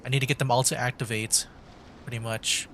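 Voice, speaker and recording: A man streaming a video game with casual commentary, thinking aloud in hesitant, halting bursts. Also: mid-range voice, level tone, fairly bright tone, slight background noise.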